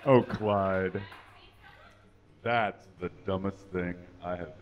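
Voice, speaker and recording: A man's voice: low voice, steady tone, slow, lo-fi sound, background noise. A man playing an exasperated, authoritative friend in a live comic puppet show, with exaggerated, emphatic delivery. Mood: exasperated, scolding. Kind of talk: live comedic puppet show, reading from script. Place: small comedy stage. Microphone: handheld stage microphone.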